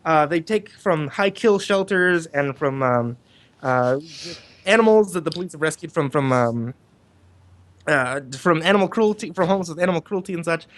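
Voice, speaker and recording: Male livestream host, slightly high voice, casual and rambling, restarts sentences, talking on a group voice call. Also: expressive, normal speed. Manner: rambling, repeats and restarts phrases. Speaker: livestream host organizing a cast.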